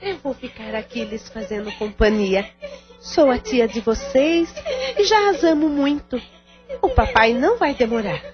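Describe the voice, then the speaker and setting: A Brazilian actress playing a caring, devout aunt and supportive sister in an audio drama. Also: female voice, fairly high pitch, animated, a little slow. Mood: tender, comforting. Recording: dull lo-fi audio under music.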